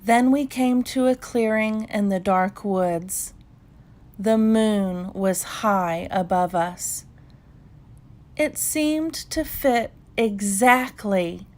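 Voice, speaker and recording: A woman narrates a children's bedtime story at a very slow pace. Her voice sits at a medium pitch, and she reads calmly, leaving space between short phrases. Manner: measured, unhurried storytelling with pauses. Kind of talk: calm bedtime read-aloud narration.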